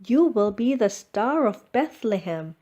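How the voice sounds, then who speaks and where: A woman's voice: on the high side, big pitch swings, measured pace, dark tone, muffled recording. A woman voicing an encouraging but firm primary school teacher in a children's storybook, announcing and reassuring.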